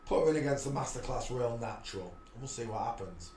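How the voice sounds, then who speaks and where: A man's voice: mid-range voice, lively intonation, quick pace, faint music behind. Rambling preachy man in an echoey room. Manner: preachy, rambling, with frequent restarts and loud outbursts. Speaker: livestream host. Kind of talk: rambling live-stream monologue.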